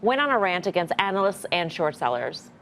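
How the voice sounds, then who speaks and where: A woman's voice: on the low side, big pitch swings, brisk, a bit bright, a little hiss or hum, rough recording. A woman with an American accent presenting a broadcast financial news show as its host.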